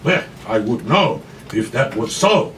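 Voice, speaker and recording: A shrewd, stout Russian brothel madam of the old days acted out by a male reader haggles with theatrical flair. The pitch swings widely, and the live recording is noisy and a little far from the mic. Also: big pitch swings, a little slow, fairly bright tone. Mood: guarded. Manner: haggling, theatrical, repeats phrases for emphasis.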